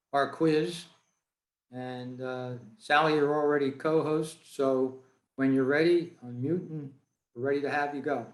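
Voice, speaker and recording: A man informally hosting an online club meeting, speaking off the cuff in a halting way. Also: a little high. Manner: unscripted, halting. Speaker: meeting host. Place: indoors, on a video call. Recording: roomy video call audio.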